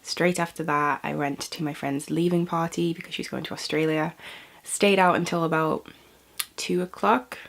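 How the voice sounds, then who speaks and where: A young British woman chats to camera in a low, slightly gravelly voice. She sounds a bit stuffy and sniffly from a cold, rambling from one thing to the next with frequent little laughs. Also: steady tone, normal speed. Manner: chatty, rambling, laughs often. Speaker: vlogger. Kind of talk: casual chat to camera.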